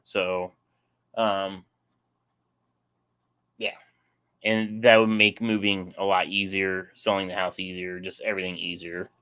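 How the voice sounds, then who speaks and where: A man's voice: deep voice, flat delivery, measured pace, long pauses, bright, forceful tone, smooth. A young man casually hosting a live stream, rambling and answering chat, his voice getting sore.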